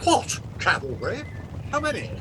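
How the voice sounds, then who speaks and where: A man's voice: high voice, huge pitch swings, unhurried, fairly bright tone, a little hiss or hum, exaggerated voice, low-quality recording. An actor playing a blustering, dismissive father and master of a castle estate in a radio play, speaking in a pompous, formal period manner. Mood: alarmed.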